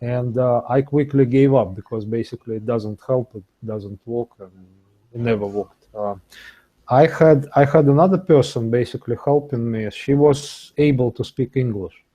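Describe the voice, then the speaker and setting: A man speaking English as an in a casual interview, with halting, repetitive phrasing. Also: medium pitch, dark tone, low-quality recording. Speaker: interview guest, English learner.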